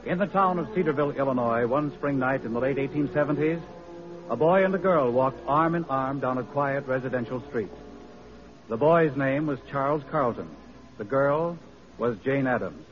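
A man narrates a radio play in a 1940s storytelling manner. His mid-pitched voice sits over music on a dull old broadcast recording. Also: moderate pace.

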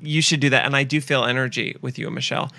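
Gravelly, bright-voiced man teasing on a podcast. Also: medium pitch, fairly even intonation, quick pace, dry recording. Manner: playful, teasing, gossipy. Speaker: podcast guest. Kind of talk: casual chat.

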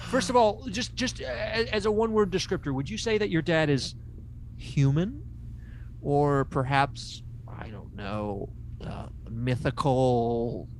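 A man's voice: on the high side, measured pace, a little hiss or hum, rough recording. A man playing an eccentric, domineering, unqualified therapist in improvised comedy, coaxing and rambling with mock authority. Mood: probing, hesitant. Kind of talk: improvised comedic character acting.

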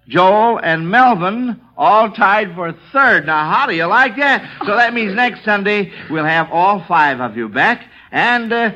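Bright, high-voiced American man hosting vintage radio. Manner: folksy exclamations, addresses the children directly. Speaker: quiz show host. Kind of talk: 1940s radio quiz show hosting. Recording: dull lo-fi vintage radio broadcast.

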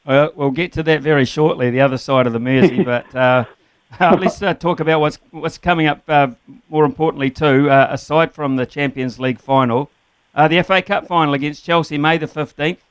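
A man's voice: a little high, steady tone, a little fast, smooth, no echo. A man hosting a radio interview, asking rambling, conversational questions padded with fillers. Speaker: radio host.

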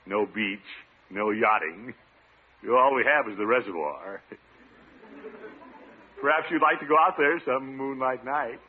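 An actor playing a flustered, pompous middle-aged American bachelor in a radio situation comedy, with hesitant, blustering delivery. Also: male voice, medium pitch, lively intonation, measured pace, long pauses, husky. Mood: nervously flirting. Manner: hesitant, blustering, nervous chuckles. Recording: tinny, noisy old radio broadcast recording.

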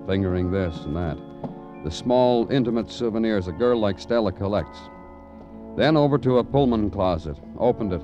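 Dull old radio recording, music behind, American man playing a weary police detective, low, terse, deadpan. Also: lightly acted. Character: world-weary, stoic American male police detective, narrating. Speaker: detective and narrator. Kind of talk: old-time radio crime drama acting.

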